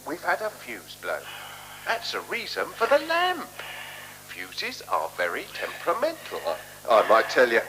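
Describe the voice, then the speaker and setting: An actor playing a shabby, apologetic hotel keeper in a radio play, rambling and repeating himself deferentially. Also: male voice, mid-range voice, wildly swooping pitch, unhurried. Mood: rambling, explaining. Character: garrulous, apologetic, shabby male hotel keeper with endless excuses. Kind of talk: 1970s radio play acting. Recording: humming, noisy 1970s radio recording.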